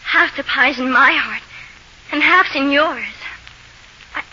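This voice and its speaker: Casting: dreamy fervent village girl, very bright high voice, vintage radio drama. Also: slow, exaggerated voice. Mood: fervent, pleading. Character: earnest, dreamy, horse-mad young village girl. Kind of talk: 1940s radio acting. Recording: muffled old radio recording with hiss.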